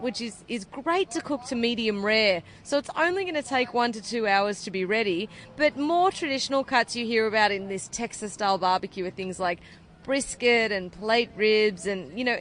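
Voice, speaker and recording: A woman's voice: fairly high pitch, fairly bright tone. A woman in her thirties with an Australian accent, explaining her barbecue cooking with enthusiasm in an outdoor interview at a food event. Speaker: barbecue cook.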